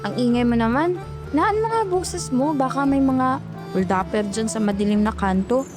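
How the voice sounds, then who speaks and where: Woman playing a weary, high-voiced young office worker. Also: animated, moderate pace, music in the background, close to an everyday voice. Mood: annoyed, nervous. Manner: weary, chatty, grumbling. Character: exhausted young female call center agent, complaining, cautious.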